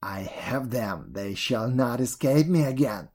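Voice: medium pitch, a little slow, gravelly, slightly rough, exaggerated voice. A storyteller playing a wicked, very old witch in a fairy tale, with a commanding, mocking manner. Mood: gloating muttering. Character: wicked old witch, feigning kindness, cruel, bossy.

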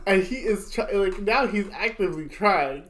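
Young male podcast co-host, animated, laugh-prone storyteller. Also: high-pitched, normal speed. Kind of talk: casual chat.

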